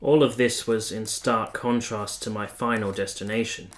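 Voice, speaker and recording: A man playing a romantic, reflective army captain in a radio play, speaking in a measured, sincere, literary way. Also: fairly low pitch, steady tone, close to an everyday voice. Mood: reflective, poetic. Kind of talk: radio play acting, literary first-person narration.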